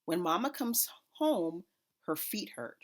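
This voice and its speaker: Low-pitched female narrator, expressive read-aloud of a picture book for young children. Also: slow. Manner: expressive, acts out characters' dialogue. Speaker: school principal reading a picture book aloud.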